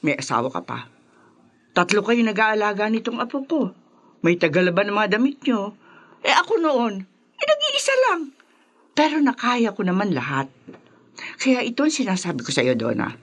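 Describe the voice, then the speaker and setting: Brash, animated grandmother, swooping pitch. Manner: blunt, nagging, exclaiming. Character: brash, boastful grandmother, a tough self-made mother. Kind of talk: radio drama acting.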